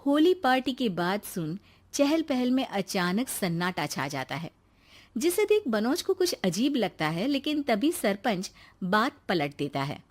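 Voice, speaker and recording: A woman's voice: medium pitch, sing-song, a little slow. A woman storyteller reading a scripted cartoon story in a dramatic narrating style.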